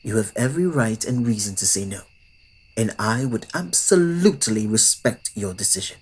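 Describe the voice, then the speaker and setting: A man playing a courtly, smitten old-world vampire in an intimate ASMR roleplay, with formal phrasing that often slips into breathy whispers. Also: fairly low pitch, expressive, normal speed. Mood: gentle, earnest. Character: courtly, old-fashioned adult male vampire, yearning, polite, smitten. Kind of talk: ASMR roleplay audio drama addressed to the listener.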